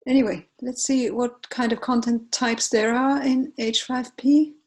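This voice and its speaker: A woman in her forties, a native Finnish speaker with a Finnish accent, walks through a software tutorial while sharing her screen, often pausing with fillers and correcting herself. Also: a little high, fairly even intonation. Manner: unhurried, hesitant, many fillers and self-corrections. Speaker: tutorial presenter.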